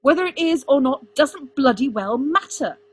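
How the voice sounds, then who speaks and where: A woman's voice: medium pitch, moderate pace, a bit bright, slightly rough. A woman voicing a proud, stubborn young crown prince with a clipped, commanding manner, in an audiobook story. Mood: exasperated.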